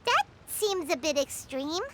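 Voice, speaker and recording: A woman voicing a small, curious fairy companion in a video game, childlike, squeaky and expressive. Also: measured pace, slight background noise. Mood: curious, puzzled. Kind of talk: video game character acting. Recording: game music behind the voice.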